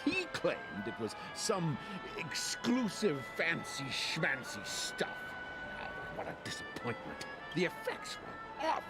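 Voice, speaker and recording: A man's voice: on the high side, wildly swooping pitch, takes it slowly, heavily acted, low-quality recording. An actor playing a disillusioned, scornful older man in a video game, complaining theatrically. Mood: exasperated. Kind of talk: scripted video game dialogue. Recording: loud music under the voice.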